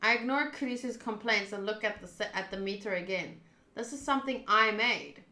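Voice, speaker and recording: A woman streaming a visual novel, mixing expressive, sweary commentary with reading the text aloud. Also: mid-range voice, fairly quick. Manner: expressive, sweary, reacts out loud. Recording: roomy echo.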